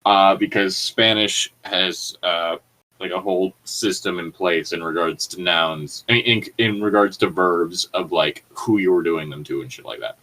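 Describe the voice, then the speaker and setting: A man's voice: low voice, measured pace, sharp tone, rough recording. Man chatting casually, rambling as he riffs. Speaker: co-host reading and riffing on fan fiction. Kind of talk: casual comedic chat and mock reading.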